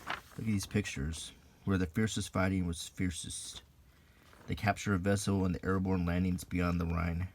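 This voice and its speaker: A man giving unscripted, ASMR-style commentary as he browses, in a musing, halting way with pauses. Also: sits low, level tone, a little mellow. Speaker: video host reviewing an old magazine.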